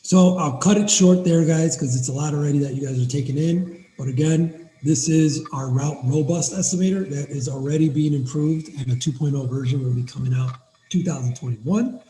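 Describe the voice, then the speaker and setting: Raspy, fast-talking man, upbeat tutorial host, mellow tone, recorded in an echoey room. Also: fairly high pitch, slight background noise. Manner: fast, energetic, addresses viewers as guys. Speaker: cleaning business owner giving a software demo. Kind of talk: casual screen-share tutorial.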